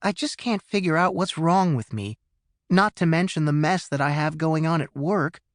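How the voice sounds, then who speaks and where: A man's voice: fairly high pitch, normal speed, dry recording, clean studio sound, lightly acted. A male narrator voicing a stressed young adult daughter who talks in an earnest, worried way, in an audiobook. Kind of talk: audiobook character voice.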